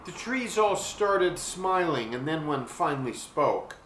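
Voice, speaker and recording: An older man reading a children's storybook aloud expressively and deliberately, pausing to describe things. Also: on the high side, animated, fairly bright tone. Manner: deliberate, with pauses to describe the pictures. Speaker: children's book reader. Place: small furnished room at home. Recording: roomy webcam sound with faint hiss.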